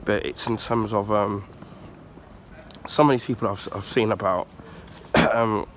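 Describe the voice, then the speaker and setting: A man from the UK, husky and bunged up from a cold, rambling through a casual rant. His voice sits a bit low, he clears his throat often, and faint street traffic hums behind him. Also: steady tone, a little slow, fairly bright tone. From United Kingdom. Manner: rambling, many ums and restarts, frequent throat clearing. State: husky, recovering from flu, blocked nose. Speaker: YouTuber.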